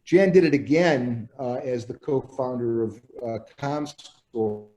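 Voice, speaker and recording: A man hosts an interview, introducing his guest with light humor and then asking long, winding questions. His voice is mellow and warm, sitting in a mid range. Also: medium pitch, slow pace, a little hiss or hum, rough recording. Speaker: interview host. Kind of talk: hosted interview.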